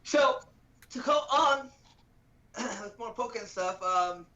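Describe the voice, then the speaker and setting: A man hosting a casual news rundown, halting and stumbling a little over his phrasing. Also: extremely high pitch, lively intonation, pushed and bright, dull audio. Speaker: podcast host leading the news segment.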